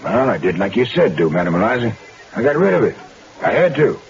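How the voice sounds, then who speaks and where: An actor playing a nervous, polite pickpocket who dodges questions. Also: male voice, fairly low pitch, very animated, brisk. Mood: evasive. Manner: polite, evasive, jittery. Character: nervous, sly male pickpocket. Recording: dull old radio broadcast.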